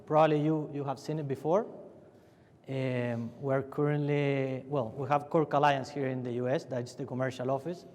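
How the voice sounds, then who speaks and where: Young Chilean man, Spanish accent, middle pitch, chatty panel talk, big reverberant hall. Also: level tone, normal speed, a little mellow. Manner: loose and chatty, many restarts and fillers. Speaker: panelist from a family winery. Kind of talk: conference fireside chat. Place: large conference hall.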